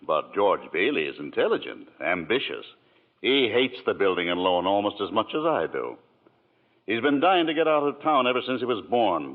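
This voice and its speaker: A scheming old banker coaxes with smooth condescension. His voice rises and falls widely in old-fashioned radio acting. The sound is thin and tinny, like an old broadcast. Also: lowish voice.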